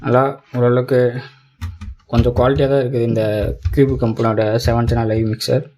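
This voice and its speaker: There is noticeable background noise with some clicking in this recording. A man with a Tamil Nadu Tamil accent speaks at a medium pitch. He presents like a casual tech reviewer, walking through things step by step and often repeating his colloquial phrases. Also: little pitch movement, fairly quick, a little mellow. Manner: colloquial, repetitive, step-by-step explaining. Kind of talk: casual product unboxing and demo.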